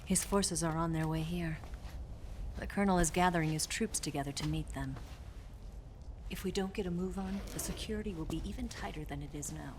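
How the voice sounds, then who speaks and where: A woman playing a sly, flirtatious double agent in a dramatic video game cutscene, teasing and breathy at times. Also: fairly low pitch, a little fast, background noise, natural voice. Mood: cool briefing. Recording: music underneath. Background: cinematic game music.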